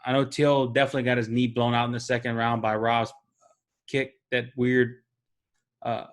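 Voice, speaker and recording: A man's voice: medium pitch, little pitch movement, normal speed. A man co-hosting a casual sports chat, laid-back and chatty. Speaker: podcast co-host.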